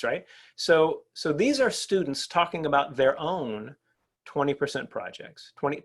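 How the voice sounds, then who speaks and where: Brief: middle-aged man, workshop presenter, bright high voice with big swings in pitch, chatty and lively. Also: slightly rough. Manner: animated and conversational, asks the audience "right?". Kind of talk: energetic professional development talk.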